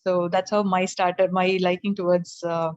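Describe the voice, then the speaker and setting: Middle-aged south indian woman, telugu first language, flat soft rambling talk, dry muffled video call sound. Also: fairly low pitch, normal speed, no room sound. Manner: soft-spoken, rambling, with frequent fillers and small laughs. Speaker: guest presenter. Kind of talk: personal testimony on a video call. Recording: dull lo-fi call audio.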